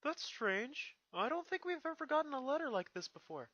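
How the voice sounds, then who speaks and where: Young dragon sidekick, male reader, cartoon fantasy: very high, eager, questioning, excitable. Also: moderate pace, dry recording, lo-fi sound, exaggerated voice. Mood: puzzled.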